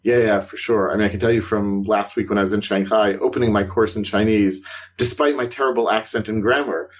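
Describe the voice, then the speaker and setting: A man on a podcast talks in a relaxed, chatty way with a low, slightly husky voice. He gets animated, his pitch jumping up when a point amuses or surprises him, and he laughs easily mid-sentence. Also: brisk. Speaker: podcast co-host, consultant. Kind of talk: casual conversational chat.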